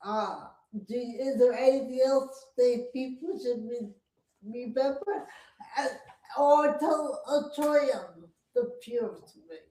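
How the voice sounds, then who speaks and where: Slow, high-voiced woman interviewer. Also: mellow. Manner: hesitant, many fillers and restarts. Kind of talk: interview questions. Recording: echoey room.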